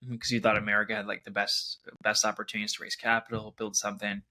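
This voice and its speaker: A man's voice: on the low side, completely flat delivery, fast talker, bright, forceful tone, low-quality recording. A man hosting an interview, asking casual, laid-back questions. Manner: laid-back, uses "like" a lot. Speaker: interview host.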